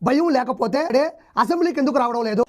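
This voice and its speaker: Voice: high voice, expressive, fast talker. A political commentator delivering mocking rhetorical questions in a troll video. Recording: clearly noisy, clicky recording.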